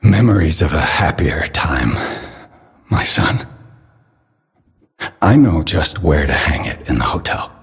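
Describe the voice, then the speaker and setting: A sad doting old dad from a video game, super low husky voice, big hall reverb. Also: male voice, expressive, full character voice. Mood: wistful. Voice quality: husky, breathy, very deep. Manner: slow, measured, solemn. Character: doting, sorrowful elderly father and inventor. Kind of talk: dramatic game character dialogue. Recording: long reverberant hall echo.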